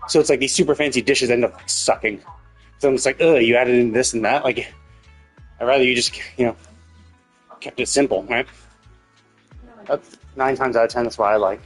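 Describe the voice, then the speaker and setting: A man's voice: medium pitch, moderate pace, long pauses. Blunt, opinionated man chatting on a stream. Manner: blunt, opinionated, swears casually. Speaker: game livestreamer. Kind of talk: casual stream commentary. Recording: game music behind the voice. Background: video game music.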